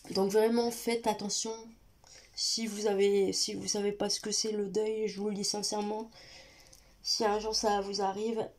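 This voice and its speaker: Flat-voiced woman with a stuffy cold. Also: mid-range voice, normal speed. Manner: hesitant, many fillers and restarts, speaks straight to viewers. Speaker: vlogger. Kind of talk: personal confessional vlog talk.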